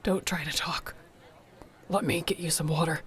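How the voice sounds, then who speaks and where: A woman playing a protective, tender orc warrior girlfriend in an intimate audio roleplay, speaking in a soft, earnest way. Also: low voice, fairly even intonation, moderate pace, slight background noise, lightly acted. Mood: tender, relieved. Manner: soft, earnest, soothing, partly whispered.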